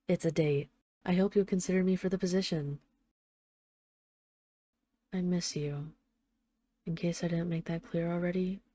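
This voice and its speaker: A woman playing an apologetic, lovesick mafia boss in hiding, speaking in an earnest, halting, confessional way, as in an intimate audio roleplay phone call. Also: on the low side, dark tone, no echo, lightly acted. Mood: hopeful, teasing, then longing, fond.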